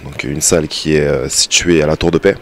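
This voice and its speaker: A man's voice: very deep voice, steady tone, brisk. A man in his thirties who leads a fight team, answering in a group interview with frequent fillers, on a handheld mic in an indoor lounge. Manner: hesitant, many fillers. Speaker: fight team head coach. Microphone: handheld mic with windscreen.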